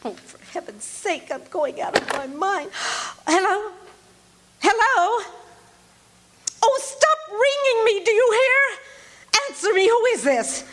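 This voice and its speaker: A woman's voice: very high-pitched, sing-song, slow pace, a bit bright, full character voice. An actress playing a nervous, demanding, self-pitying bedridden woman in a 1940s-style thriller radio play, fretful and melodramatic. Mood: frantic. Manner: imperious, fretful, querulous, melodramatic. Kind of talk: live stage radio drama acting, 1940s thriller.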